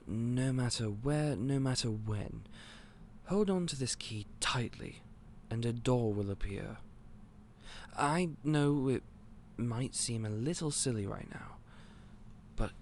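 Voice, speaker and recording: A man playing an eccentric, otherworldly candy store owner in an audio roleplay, polite and gentle but a little scatterbrained. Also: medium pitch, slight background noise. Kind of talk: scripted audio roleplay to the listener.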